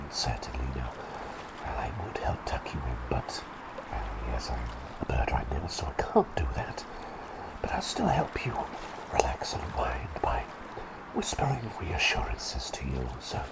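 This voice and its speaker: Male performer as a silly kindly talking bird, breathy whisper, gravelly fry, hesitant, polite, ASMR roleplay. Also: full character voice. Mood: polite, caring, then soothing. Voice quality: breathy whisper with creaky low voiced moments. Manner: hesitant, polite, repeating phrases softly.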